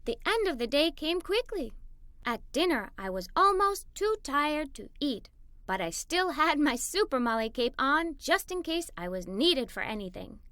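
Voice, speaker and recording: Woman voicing a bright, high-pitched, excited little girl storyteller. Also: moderate pace, dry recording, heavily acted. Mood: eager. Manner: expressive, enthusiastic storytelling. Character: Molly, excited adventurous little girl telling her day. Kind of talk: children's read-aloud story narration.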